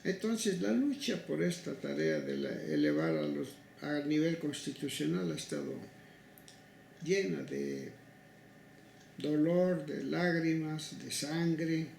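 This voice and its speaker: An older Mexican man recounts past cases as an interviewee. His voice is very gravelly and fairly high for a man, and he speaks in a halting, deliberate way. The room rings with echo around him. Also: lively intonation, darkish tone. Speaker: interviewee, human rights legal advocate. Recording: echoey room with faint noise.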